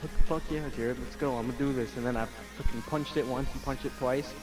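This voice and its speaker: A man's voice: mid-range voice, fairly even intonation, brisk, poor audio. Slangy young man over prominent music. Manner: slangy, profane, loose and rambling. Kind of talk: casual anecdote telling among friends.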